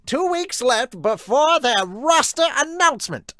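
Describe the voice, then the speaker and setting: Man acting as manga dub narrator, pitched way up, loud, declamatory, dry sound. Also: sing-song, measured pace, no echo, full character voice. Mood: grand, urgent. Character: dramatic manga narrator announcing the chapter's stakes.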